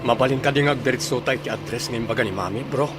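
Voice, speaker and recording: A man's voice: mid-range voice, fast, over music, natural voice. A man playing a young soldier, a protective and indignant son, blunt and urgent, in an Ilocano radio drama. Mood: angry, determined.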